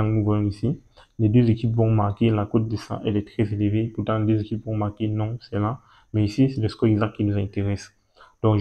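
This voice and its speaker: A man, talking through a screen-recorded walkthrough, repeating himself and pausing as he points things out. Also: fairly low pitch, muffled recording. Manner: repetitive and hesitant, points at things with "ici, là". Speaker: betting tutorial host.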